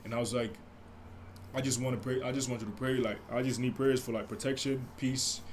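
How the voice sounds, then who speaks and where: Mellow-voiced man rambling through a story. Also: fairly even intonation, a little fast, slight background noise. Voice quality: deep, mellow voice. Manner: rambling, many restarts and asides, speaks softly. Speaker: podcast host. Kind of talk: casual solo podcast storytelling.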